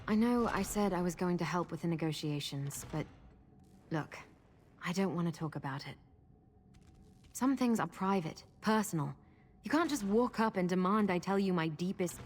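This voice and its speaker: Actress as a guarded hermit woman, lowish voice, halting, confiding, video game dialogue, faint ambient noise. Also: natural voice. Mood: defensive. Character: guarded masked female recluse with lost memories.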